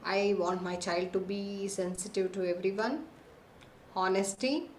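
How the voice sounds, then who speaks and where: A woman teaching a live online parenting class over a computer microphone, reading out the answers people type into the chat. Her voice sits at a middle pitch and sounds a little roomy, with faint noise in the background. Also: measured pace, darkish tone. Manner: reads lists of answers aloud, checks in with the class often. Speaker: online parenting workshop host. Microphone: computer mic on a video call.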